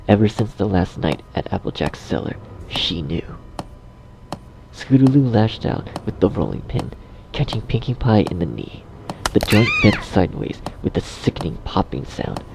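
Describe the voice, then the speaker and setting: A man reading scripted dark fiction as a narrator in a measured storytelling manner. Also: low voice, creaky, background noise.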